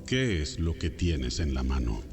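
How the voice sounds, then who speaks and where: A man's voice: a bass voice, sing-song, measured pace, vocal fry, over music, exaggerated voice. A man playing God as a stern, authoritative deity in a solemn, commanding dramatized Bible audio.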